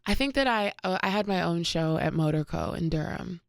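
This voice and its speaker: Woman, from Cameroon, musician guest, gravelly fry, relaxed, dry radio studio. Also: lowish voice, a little fast, no room sound. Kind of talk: casual interview chat.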